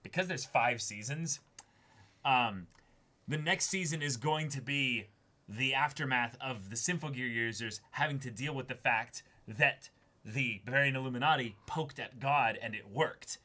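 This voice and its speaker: A man's voice: medium pitch, normal speed, pushed and bright. A man co-hosting an anime reaction show, talking casually and often repeating and rephrasing himself as he works through his thoughts. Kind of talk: casual discussion.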